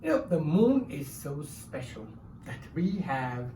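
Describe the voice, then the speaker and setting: A woman's voice: low voice, big pitch swings, takes it slowly, warm tone. A woman presenting interactive storytelling for children, prompting with questions in an inquisitive manner. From Singapore. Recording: echoey room, distant mic, faint noise.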